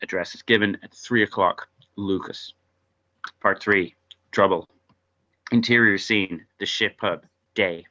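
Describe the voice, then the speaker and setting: A man's voice: lowish voice, steady tone, a little slow, long pauses, sharp tone, muffled recording. A man reading screenplay stage directions aloud as narrator at a table read.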